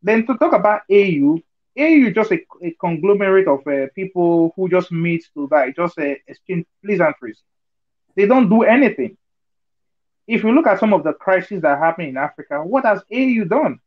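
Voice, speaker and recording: Earnest man, high voice, lo-fi call. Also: animated, normal speed. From Nigeria. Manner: earnest, argumentative, many fillers and rhetorical checks. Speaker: discussion participant. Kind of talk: online group discussion. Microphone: video call.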